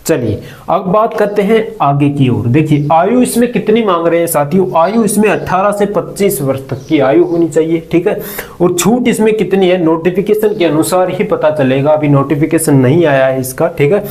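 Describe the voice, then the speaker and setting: Higher-pitched man explaining informally. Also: normal speed, darkish tone. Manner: conversational, asks questions and answers them, frequent check-in tags. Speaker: presenter of a job-recruitment explainer channel.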